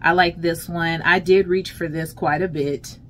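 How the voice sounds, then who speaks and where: A middle-aged American woman chatting casually and emphatically to her viewers as a lifestyle vlogger, in an unhurried way. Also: fairly low pitch, steady tone, a bit bright. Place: closet at home. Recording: clear background noise, home vlog.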